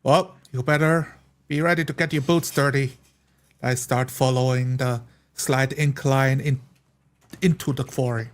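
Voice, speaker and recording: A man's voice: mid-range voice, steady tone, unhurried. A man playing a tabletop roleplay game, casually improvising what his character does and says.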